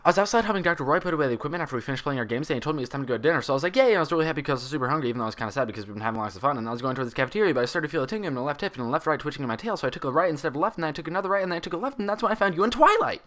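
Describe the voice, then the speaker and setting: A woman's voice: sits low, lively intonation, very fast, hardly any pauses, a bit bright, voice pushed up. A woman voicing a bubbly, childlike female cartoon pony who rambles and gushes breathlessly. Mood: breathless excitement.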